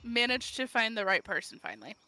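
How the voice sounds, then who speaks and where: Bright woman, blunt and swearing cheerfully. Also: fairly high pitch, sing-song, brisk, poor audio. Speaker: streamer co-host. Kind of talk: casual chat on a game stream. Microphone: voice chat call.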